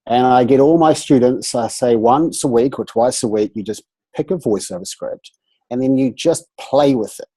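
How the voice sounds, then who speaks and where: Brief: nasal man, voice coach, husky and dark, animated video-call chat. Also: medium pitch, normal speed, poor audio. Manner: animated, playful, trails off into restarts. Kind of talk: casual group video chat.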